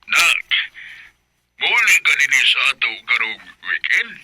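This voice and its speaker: A man's voice: mid-range voice, big pitch swings, slow, bright, forceful tone, husky, no echo, exaggerated voice. An actor playing an affectionate middle-aged father who misses his child, in a Bisaya radio drama with a Cebuano Filipino accent. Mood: tender, longing.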